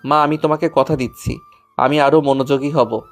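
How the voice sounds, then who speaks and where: A man's voice: medium pitch, fairly even intonation, moderate pace, faint music behind, lightly acted. A man playing an earnest, polite, apologetic teenage schoolboy who is eager to please, in an audio story. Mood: contrite, earnest. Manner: polite, contrite. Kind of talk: Bengali audio story acting.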